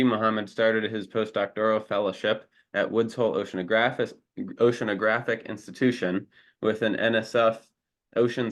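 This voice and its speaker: A man's voice: on the low side, a bit bright. A man hosting an online seminar, reading out a speaker's introduction over a video call with small slips and self-corrections. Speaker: seminar host.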